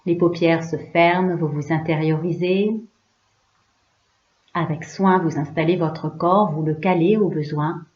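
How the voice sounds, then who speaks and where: A woman yoga teacher leading a guided relaxation in a hushed, soothing, unhurried way, leaving pauses between short phrases. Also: low voice, steady tone. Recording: roomy, slightly dull recording.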